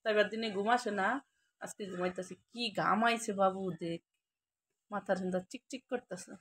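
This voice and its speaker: A woman's voice: mid-range voice, steady tone, a little fast. A woman with a Bangladeshi accent, a mother casually narrating her home vlog and chatting with family. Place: indoors at home. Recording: household noise, clattering dishes.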